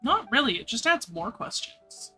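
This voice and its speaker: A woman's voice: on the high side, very animated, normal speed, sharp tone, husky. A woman streaming a video game, making casual live commentary on what unfolds. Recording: game music behind the voice.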